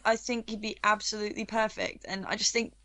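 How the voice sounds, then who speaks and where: A woman's voice: medium pitch, level tone, brisk, bright, forceful tone, no echo. A woman talking casually about football as a guest on a podcast.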